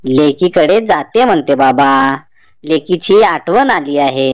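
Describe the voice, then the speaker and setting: A shrewd old village grandmother from a children's cartoon , acted by a woman. She coaxes in drawn-out, very slow phrases with swooping pitch, affectionate and homely. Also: animated, a little mellow, dry recording, studio quality. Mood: agreeable.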